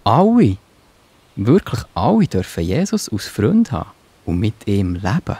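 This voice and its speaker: A man's voice: on the low side, wildly swooping pitch, unhurried, warm tone, husky, no echo, exaggerated voice. A man playing the apostle Peter in a children's audio drama, musing and pondering aloud. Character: Peter, thoughtful kindly bearded apostle man.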